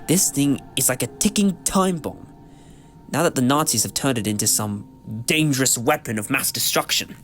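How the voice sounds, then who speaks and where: An actor playing an eccentric, clever time-travelling adventurer in a fan audio drama, theatrical, with musing hesitations. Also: male voice, medium pitch, expressive, normal speed, a bit bright, faint music behind, lightly acted. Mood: grave warning.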